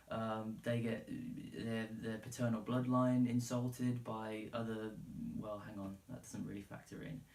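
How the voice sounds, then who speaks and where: An English man hosts a solo podcast in a loose, unscripted way, wandering through his opinions in informal language with plenty of swearing. His voice sits at an ordinary male pitch. The recording is echoey and sounds some distance from the microphone. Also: darkish tone. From England. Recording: roomy, echoey, distant from the mic.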